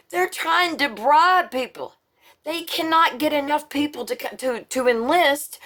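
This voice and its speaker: A woman's voice: high-pitched, sharp tone. Blunt, profane woman ranting. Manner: blunt, profane and sarcastic, with frequent restarts. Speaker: political commentary host. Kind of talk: casual opinion monologue.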